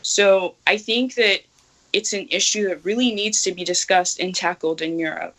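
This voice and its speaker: A young woman from Nigeria answering interview questions in a bright, clear tone. She speaks earnestly and thoughtfully, with plenty of pauses and restarts as she works out her answers. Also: medium pitch. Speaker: activist guest.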